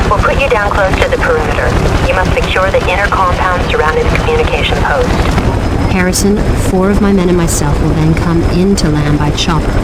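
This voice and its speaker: An actress voices a composed female mission controller in a military video game. She briefs operatives in a precise, businesslike way, with loud vehicle noise behind her. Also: fairly low pitch, lightly acted.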